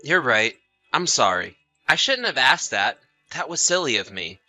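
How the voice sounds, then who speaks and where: A man's voice: medium pitch, sing-song, moderate pace, sharp tone, soft background music. A man playing an anxious, trusting husband in a scripted audio story, apologetic and full of questions. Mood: apologetic, friendly. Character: anxious, trusting husband often away on business.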